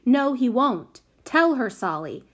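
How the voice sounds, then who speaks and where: A woman voicing a bossy, impatient, sharp-tongued girl in a storybook reading. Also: very high voice, wildly swooping pitch, a little slow, voice pushed up, heavily acted. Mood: snappish.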